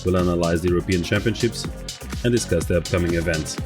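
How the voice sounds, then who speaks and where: A man's voice: low-pitched, fast talker, music in the background. A man hosting a podcast, welcoming and interviewing a guest over a video call. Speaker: podcast host. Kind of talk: casual podcast chat.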